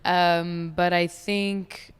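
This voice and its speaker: A woman in her twenties, raised in France and Dubai, guest on a chat podcast, talking reflectively and often pausing to search for words. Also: fairly low pitch, flat delivery, smooth, big-room sound. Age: 20s. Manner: reflective, searching, many fillers.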